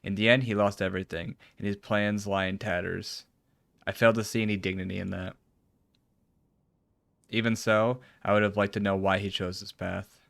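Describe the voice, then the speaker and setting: Low-voiced man reading game dialogue. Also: flat delivery, moderate pace, gravelly, a bit bright, no room sound. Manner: stumbles while reading formal dialogue, swears casually. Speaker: game streamer. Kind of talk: reading game cutscene dialogue aloud with casual reactions.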